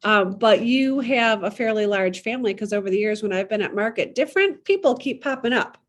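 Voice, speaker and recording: A woman's voice: mid-range voice, animated, brisk. Effusive Midwestern woman hosting a chat. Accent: Midwestern American. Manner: effusive, chatty. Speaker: podcast host. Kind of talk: casual chat. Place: needlepoint shop.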